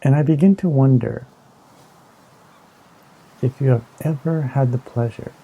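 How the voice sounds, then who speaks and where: A man leading a guided meditation in a slow, soothing, hypnotic manner, with long pauses between short phrases. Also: medium pitch, husky, phone-quality sound. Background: ambient new-age music with singing bowls.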